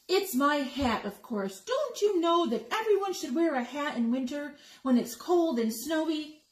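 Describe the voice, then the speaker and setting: A woman's voice: sits high, lively intonation, unhurried. A woman voicing a grumpy, indignant little male hedgehog in a picture book read-aloud. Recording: roomy echo with noticeable background noise.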